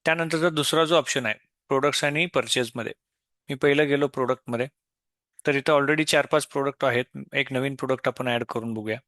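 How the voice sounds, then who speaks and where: A man presents an unscripted software tutorial in a medium-pitched voice. He explains each step as he goes, asking himself questions and answering them. The recording is dry, with very little room sound. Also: brisk, dry recording.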